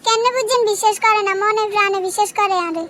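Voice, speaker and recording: A woman's voice: extremely high pitch, fairly even intonation, quick pace, background noise. A woman voicing a gullible, demanding young cartoon wife with a Noakhali Bangladeshi accent and an insistent, nagging manner. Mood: eager. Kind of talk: comedy cartoon dialogue.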